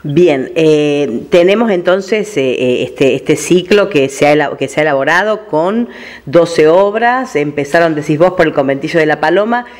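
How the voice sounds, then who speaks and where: An Argentine man hosting a conversational radio interview, haltingly searching for words as he frames his questions. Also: on the high side, animated.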